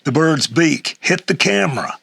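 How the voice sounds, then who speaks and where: An older man reading a humorous memoir essay aloud in a halting way, with a gravelly, husky voice. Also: a little high, lively intonation, fairly bright tone, no room sound, studio quality. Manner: halting read-aloud with stumbles and chuckles. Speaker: reader of an essay excerpt.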